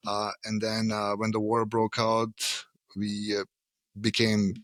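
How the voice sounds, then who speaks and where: A middle-aged man with a Bosnian accent talks in a lowish voice, casual and self-deprecating, with frequent laughs and filler sounds. The sound is dry and close, with no room in it. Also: completely flat delivery, takes it slowly, fairly bright tone, no room sound. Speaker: fly fishing guide and shop owner. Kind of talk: casual podcast interview.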